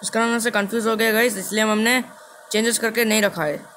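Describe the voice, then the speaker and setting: A young man giving casual gameplay commentary as a video host, halting and repeating his phrases as he goes. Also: high voice, flat delivery, bright, forceful tone. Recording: clearly noisy game capture.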